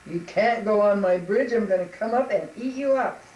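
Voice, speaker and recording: A woman voicing a big, mean old troll in a children's storybook tale, loud and drawn-out in a noisy room. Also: medium pitch, muffled recording, lightly acted. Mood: menacing. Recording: roomy, distant mic, noisy.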